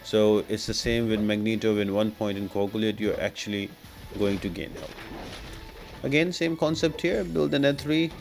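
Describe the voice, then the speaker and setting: Guy doing a chill game walkthrough, lowish voice, explains the steps, bit of music behind him. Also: normal speed, creaky. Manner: explanatory, instructional. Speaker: gaming guide host. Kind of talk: casual gameplay walkthrough commentary.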